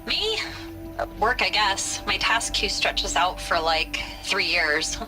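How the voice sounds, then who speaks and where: An actress playing a dry, weary tech worker of about thirty in a video game, casual and wry. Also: female voice, medium pitch, big pitch swings, takes it slowly, rough recording. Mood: incredulous, glum. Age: 30s. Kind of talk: video game dramatic acting. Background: music.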